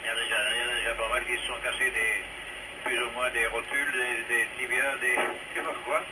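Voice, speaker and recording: A man's voice: medium pitch, flat delivery, fast. A man making casual small talk as an amateur radio operator over a two-way radio, often repeating his phrases. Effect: two-way VHF radio transmission. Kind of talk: casual chat on a ham radio round. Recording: very noisy two-way radio link.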